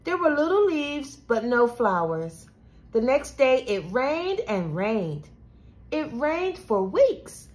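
A woman reading a children's storybook aloud with expressive, deliberate delivery. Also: high-pitched. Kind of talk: scripted children's storybook narration.

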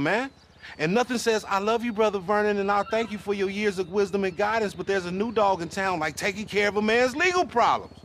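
A man's voice: sits high, fairly bright tone, slight background noise. A man playing a young, boastful American gangster hustler in a video game, wheedling his friend in slangy street talk.

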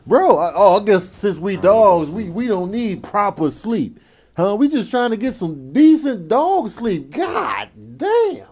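A man's voice: high-pitched, big pitch swings, measured pace, a little mellow, heavily acted. A man voicing a brash, indignant dog in a comedic animal voiceover, ranting in a streetwise manner. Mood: exasperated. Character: brash, confrontational male dog, indignant and cocky.

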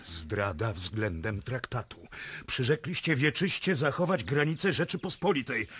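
A sceptical challenger in a radio drama. The husky, mid-pitched voice puts short, probing remarks with dry doubt. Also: male voice, expressive, measured pace, fairly bright tone. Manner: probing, terse. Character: sceptical questioner challenging a Russian prince.

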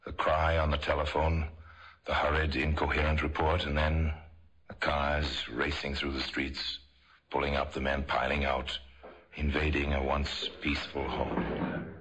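A man playing a brooding crime storyteller, narrating an old radio drama in a measured, suspenseful manner. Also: a bass voice, flat delivery, fairly bright tone, breathy edge, full character voice. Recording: very noisy old radio recording.

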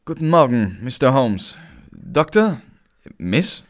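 An uncouth, impulsive young man in an audio drama. He speaks in slow, abrupt bursts with lively pitch, tense and agitated. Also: slight background noise. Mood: stiff greeting. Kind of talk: German audio drama acting.

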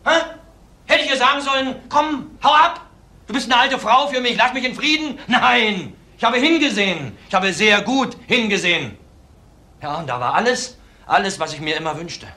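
An actor playing a cold-blooded ex-convict widower in a crime drama, defiant, blunt and self-assured. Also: male voice, very high-pitched, lively intonation, normal speed, sharp tone, full character voice. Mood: defiant, bitter. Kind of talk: German TV crime drama acting. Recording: faint background noise.

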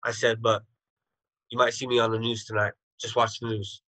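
A man's voice: medium pitch, flat delivery, normal speed, a bit bright, no echo, low-quality recording. A man in his thirties, a guest on a video call, bluntly recounting his past in plain street slang. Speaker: interview guest. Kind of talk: telling his life story.

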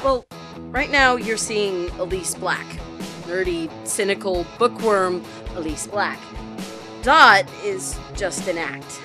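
A woman's voice: medium pitch, lively intonation, slow, music in the background. A woman playing a cynical, emotional teenage schoolgirl in an amateur online radio drama. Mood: earnest. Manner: emotional, indignant, emphatic. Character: cynical, defiant teenage schoolgirl, secretly a model.

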